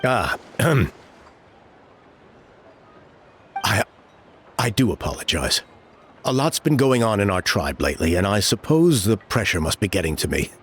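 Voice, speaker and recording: A stern, protective middle-aged elder in a game, with a lowish voice that rises and falls a great deal. He speaks in a measured, formal way. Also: sing-song, a little hiss or hum. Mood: apologetic.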